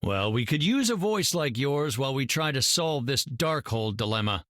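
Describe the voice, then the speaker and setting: An actor playing an earnest, self-assured scientist hero in a video game, articulate and formal. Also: male voice, medium pitch, lively intonation, moderate pace, a bit bright, no room sound, studio quality, lightly acted. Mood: diplomatic. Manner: articulate, formal, matter-of-fact.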